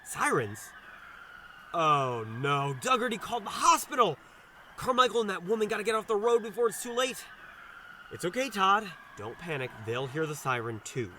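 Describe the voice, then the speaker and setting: A man's voice: high-pitched, big pitch swings, moderate pace, bright, forceful tone, slight background noise, heavily acted. An actor playing an awkward, anxious young male doctor in an audio drama, rambling and scolding himself in a flustered, hesitant way. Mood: watchful, hesitant, then panicked. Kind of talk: audio drama dialogue with first-person inner monologue.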